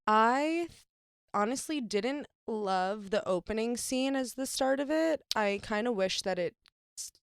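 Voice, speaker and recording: A woman's voice: on the high side, lively intonation, unhurried, gravelly, smooth, dry recording, clean studio sound. A young woman hosting a podcast, talking casually and animatedly with lots of fillers. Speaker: podcast host. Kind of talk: casual chat.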